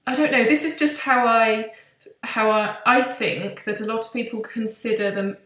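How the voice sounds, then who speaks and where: A woman's voice: fairly high pitch, fairly even intonation, moderate pace, a bit bright. Woman interviewer in an echoey room. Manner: agreeable, rambling, rephrasing as she goes. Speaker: interviewer, physiotherapist. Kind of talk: casual interview chat.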